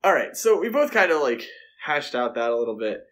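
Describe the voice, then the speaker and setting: A man with a middle-pitched voice talks as a podcast co-host. He is casual and conversational, thinking out loud as he speculates. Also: very animated, brisk. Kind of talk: casual film discussion podcast.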